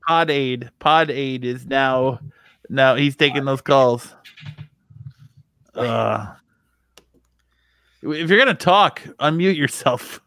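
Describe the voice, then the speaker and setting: A man's voice: mid-range voice, takes it slowly, pauses often, a bit bright, no room sound. A man who drops in on a podcast to tease a co-host, talking in mocking, playful banter. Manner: teasing, mocking. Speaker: podcast regular. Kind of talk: casual banter.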